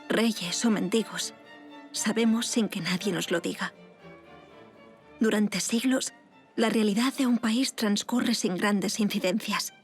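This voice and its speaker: A woman playing a reflective noblewoman who recalls upheaval in measured, solemn audio-drama narration. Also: mid-range voice, close to an everyday voice. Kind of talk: dramatic scripted first-person narration for audio fiction. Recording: violin music behind studio voice.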